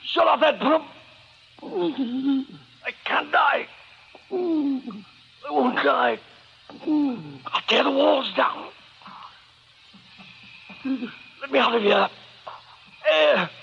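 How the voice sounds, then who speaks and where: Sly, creaky old killer. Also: male voice, very high-pitched, expressive, very slow, long pauses, full character voice. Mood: desperate, panicked. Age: older. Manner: theatrical, gloating, repeats himself, murmuring asides. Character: smug, sly old male serial killer of women. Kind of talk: 1940s radio horror acting. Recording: noisy, dull vintage radio recording.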